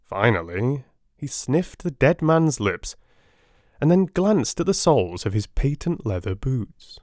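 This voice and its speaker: Theatrical, expressive male audiobook narrator. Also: mid-range voice, dry recording, studio quality. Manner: theatrical, deliberate phrasing. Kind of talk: dramatic scripted narration.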